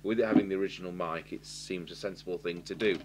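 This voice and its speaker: A man's voice: deep voice, fairly even intonation, fast talker, very noisy. A man with an English accent giving an informal hands-on repair demonstration, matter-of-fact and thinking aloud as he works. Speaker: radio repair technician, presenter. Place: indoors at a workbench.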